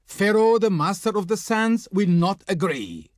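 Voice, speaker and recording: Casting: haughty ancient Egyptian court envoy in a strategy game; high voice, swooping delivery, flowery and pompous. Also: male voice, lively intonation, a little slow, no room sound, studio quality. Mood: pompous refusal. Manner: pompous, declamatory. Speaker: game voice actor playing a diplomat. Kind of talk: theatrical scripted game dialogue.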